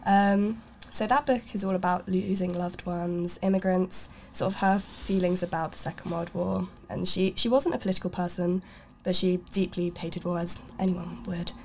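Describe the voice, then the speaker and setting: A woman's voice: on the low side, fairly even intonation, normal speed, vocal fry, few pauses, darkish tone, a little hiss or hum. A woman from Sweden hosting a casual art chat, chatty, with many fillers and restarts. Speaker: radio show host.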